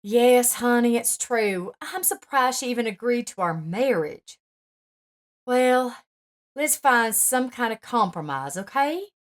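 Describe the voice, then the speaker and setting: Woman putting on a young wife, upset n pleading, high strained voice. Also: animated, slow pace.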